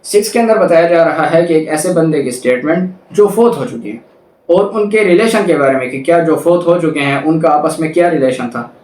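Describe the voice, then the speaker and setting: A man's voice: on the high side, lively intonation, brisk, a little mellow. Man, Pakistani accent, lecturer, methodical step-by-step explaining, reading aloud, roomy echo, mic at a distance. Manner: methodical, repetitive step-by-step explaining, switching between Urdu and English. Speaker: law lecturer. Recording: echoey room, distant microphone.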